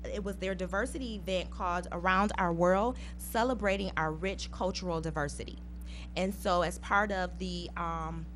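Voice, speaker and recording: A woman's voice: on the low side, normal speed, a bit bright, slight background noise. Earnest woman presenting to a school board. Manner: conversational, earnest, with frequent fillers. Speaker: school district English learner program presenter.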